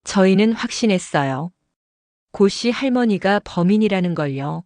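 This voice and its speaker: A woman narrating an audio drama in a steady storytelling voice. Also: medium pitch, normal speed, dry recording.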